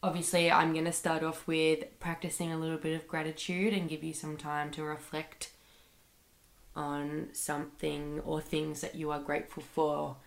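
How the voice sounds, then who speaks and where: Woman, low creaky flat voice, head cold, chatty podcast host in an echoey room. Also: moderate pace. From Australia. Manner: chatty, rambling, lots of fillers like "like" and "um". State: sick with a bad cold. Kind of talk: casual solo podcast monologue. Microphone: computer microphone.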